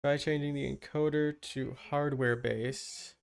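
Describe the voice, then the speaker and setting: A man's voice: medium pitch, steady tone, measured pace, gravelly, clean tone. A man chatting casually on a game livestream, thinking aloud and muttering to himself. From Canada. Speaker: game livestreamer using an avatar.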